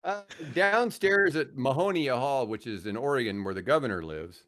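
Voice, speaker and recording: An American man in his sixties in casual conversation as a guest. He tells stories in an ordinary mid-pitched voice, with a wry, joking way of poking fun at himself. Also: expressive, normal speed, fairly bright tone. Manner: wry, self-mocking, joking. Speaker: podcast guest, veteran radio host and guitarist. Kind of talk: casual storytelling chat.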